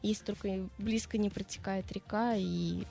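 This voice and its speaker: A young woman from Russia talks as a guest on a radio morning show, her pitch sitting in an ordinary middle range. She answers casually, pausing often and restarting with plenty of fillers as she searches for words. Faint music plays in the background. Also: steady tone, gravelly, warm tone. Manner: hesitant, many fillers and restarts.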